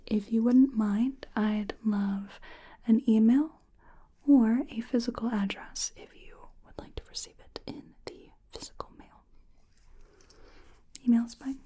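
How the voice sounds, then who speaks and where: A woman whispers close to the mic in a slow, unhurried ASMR roleplay, her breathy voice sitting a little high. The tone is mellow and soft, with a gentle, soothing manner. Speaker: ASMR artist.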